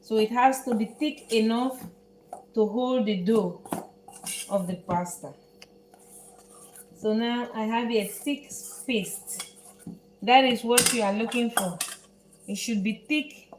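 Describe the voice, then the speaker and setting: A Dutch woman with a Dutch accent, speaking English as her second language, hosting a live cooking tutorial from her home kitchen in an unhurried, halting way that repeats words as she works. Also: a little high, echoey room, slight background noise, poor audio. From Netherlands. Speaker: home cook host.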